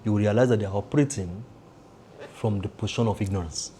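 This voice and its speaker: A Nigerian man giving measured political commentary, driving his points home with repeated phrases and lists. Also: lowish voice, dark tone, a little hiss or hum.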